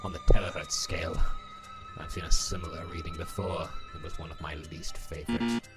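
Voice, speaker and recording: Casting: vain robot tyrant, deep but wildly animated, theatrical and haughty. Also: male voice, brisk, over loud music. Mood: brisk, worried. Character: vain, pompous, irritable robot ruler, self-glorifying schemer. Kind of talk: voice acting in a cartoon fan dub.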